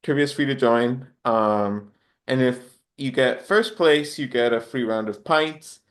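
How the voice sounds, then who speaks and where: Guy from honduras on a podcast, rambly and thoughtful, medium pitch, kinda hesitant phrasing. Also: unhurried. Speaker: podcast guest. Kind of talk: casual interview chat.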